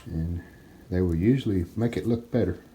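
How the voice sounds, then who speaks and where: A man giving casual, plainspoken, unhurried narration of hands-on workshop work, like a DIY video host. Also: sits low, mellow, slight background noise. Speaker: host of a restoration channel.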